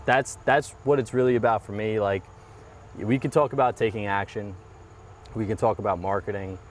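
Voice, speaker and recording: Male guest, 30s, low voice, sincere and reflective, casual outdoor chat. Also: fairly even intonation, normal speed, a little hiss or hum. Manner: earnest, reflective, repeats phrases. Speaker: guest, mortgage business owner. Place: outdoors by a parked car.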